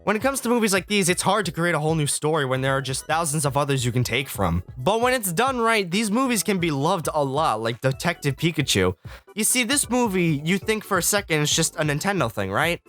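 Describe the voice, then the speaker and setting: Young guy doing a sarcastic video essay, kinda high voice, super animated, light music behind. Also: a little fast. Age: young adult. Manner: sarcastic, profane. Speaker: video essay host, film student. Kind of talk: casual scripted video review commentary.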